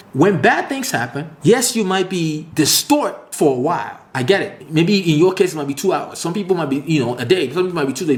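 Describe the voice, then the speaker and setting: A young man from Nigeria co-hosting a podcast, talking in an emphatic, preachy way full of rhetorical questions. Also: a little high. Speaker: podcast co-host. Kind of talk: motivational podcast talk. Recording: echoey room.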